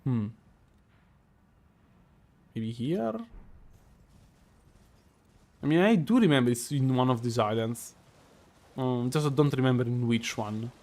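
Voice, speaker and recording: A man with a strong Italian accent, a streamer musing out loud over live gameplay with many fillers and restarts. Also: mid-range voice, expressive, takes it slowly, long pauses. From Italy.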